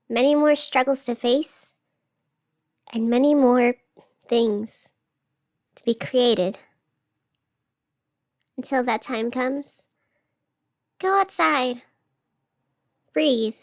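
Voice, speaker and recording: A woman acting as a gentle late-night radio host in an audio drama. Her voice sits a little high and she speaks very slowly, sincere and encouraging, in a reflective monologue. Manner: earnest, encouraging, unhurried.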